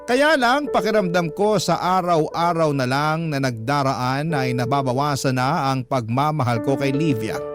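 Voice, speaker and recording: A man's voice: a little high, moderate pace, hardly any pauses, music in the background, close to an everyday voice. A man with a Filipino accent acting a disheartened partner who narrates his story for radio in a confiding, resigned way. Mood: disappointed, resigned. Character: disheartened man recounting his troubled relationship. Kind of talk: first-person dramatic narration for radio.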